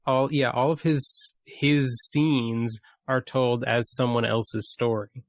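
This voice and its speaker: A man co-hosting a podcast, explaining and qualifying his points in a relaxed, informal discussion. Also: mid-range voice, slow pace, no room sound, studio quality. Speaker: podcast host. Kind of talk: casual discussion.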